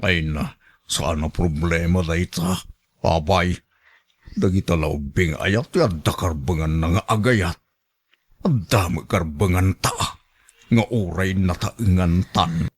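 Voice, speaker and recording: Radio drama role: lovesick old man, pleading and persistent, voice on the low side acted by a man. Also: slow, slightly rough, heavily acted. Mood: pleading, coaxing. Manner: coaxing, sentimental. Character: elderly male suitor, pleading, insistent, lovesick grandfather.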